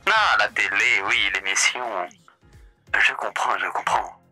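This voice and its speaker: Man, bright tense voice, theatrical roleplay host, smooth drawn-out hums, music behind. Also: on the low side. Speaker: roleplay player as hotel host. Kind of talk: in-character roleplay chat.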